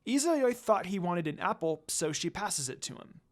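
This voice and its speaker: A man's voice: sits high, very animated, normal speed. A man reading a story aloud as scripted narration. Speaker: fan fiction narrator.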